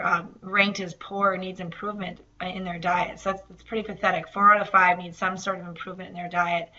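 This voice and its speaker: A woman nutrition instructor giving a recorded online lecture, her voice slightly raspy from allergies, with many fillers and restarts. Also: fairly low pitch, bright, forceful tone, dull audio. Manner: hesitant, many fillers and repeated words.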